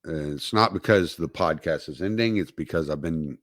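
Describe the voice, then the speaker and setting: A man hosting his own podcast, talking alone on a livestream in a halting way with many fillers and restarts, recovering from dental work. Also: rumbling low, fairly bright tone, no echo, clean studio sound. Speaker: podcast host. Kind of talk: solo livestream talk.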